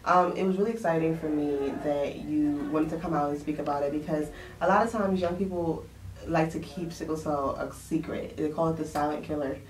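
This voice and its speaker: Low-voiced woman hosting a chat show. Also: steady tone, brisk. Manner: chatty, frank, laughs easily. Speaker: host. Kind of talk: casual talk show interview. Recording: roomy sound, distant mic.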